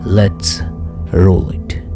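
A man's voice: drawn-out words, music in the background. A man announcing a podcast drama in a scripted introduction with cast credits.

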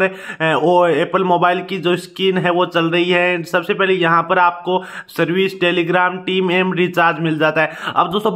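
A man narrating an app walkthrough on a phone screen in a steady, repetitive tutorial patter. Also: high-pitched. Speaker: YouTube app reviewer. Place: indoors, filming a phone screen.

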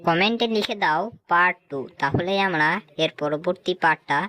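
A woman's voice: fairly low pitch, normal speed, sharp tone, low-quality recording. A woman narrator reading scripted storytelling narration for a cartoon tale.

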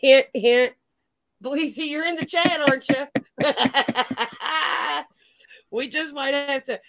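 Higher-voiced woman chatting casually on a livestream. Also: slow, a crowd in the background. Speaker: livestream co-host. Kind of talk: casual chat, reading and answering live chat. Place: online stream call.

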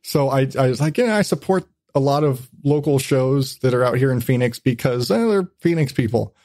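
A man's voice: mid-range voice, lively intonation, moderate pace, a little mellow, no room sound, studio quality. A man hosting a podcast in casual conversation, rambling informally and often rephrasing as he goes. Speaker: podcast host.

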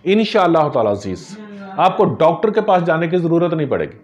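A middle-aged herbalist speaks earnestly to a fixed camera at his desk, in a slightly raised pitch. He gestures as he walks viewers through a home remedy, slipping religious phrases into his advice. The sound is that of a small office lined with framed photos. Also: very animated, fairly quick, a little mellow, highs cut off.

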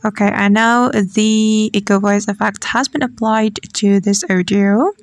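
Creaky-voiced woman narrating a tutorial. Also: mid-range voice, steady tone, unhurried, no echo. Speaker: tutorial host. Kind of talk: step-by-step software tutorial narration.

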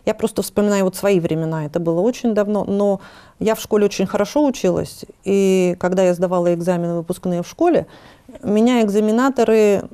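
A woman's voice: medium pitch, a little fast, warm tone, clear, resonant tone. A woman in her fifties from the Urals, a studio guest and educator, talking things over with hesitant fillers in a TV studio. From Urals, Russia. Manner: reflective, hesitant, many fillers. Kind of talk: casual studio conversation. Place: TV studio set.

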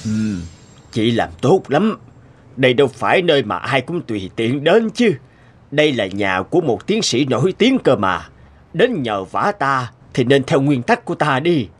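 A man's voice: mid-range voice, sing-song, normal speed, husky. A man playing a stern, self-important old scientist in a cartoon, brusque and pompous. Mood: smug. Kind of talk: animated cartoon dialogue. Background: music.

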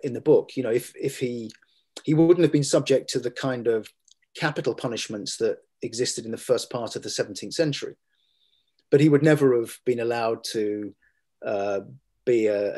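A middle-aged man, a guest scholar, talking in a measured and halting way with many fillers and restarts, recorded over a video call from a room at home. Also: medium pitch, a little mellow. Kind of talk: academic discussion on a video call.

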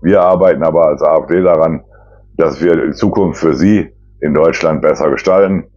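Male, 50s, very low voice, blunt and disapproving political vlogger, informal delivery, slightly muffled lo-fi recording. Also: moderate pace, a little mellow. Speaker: politician hosting a weekly news commentary. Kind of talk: informal political commentary to camera. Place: small plain indoor room.

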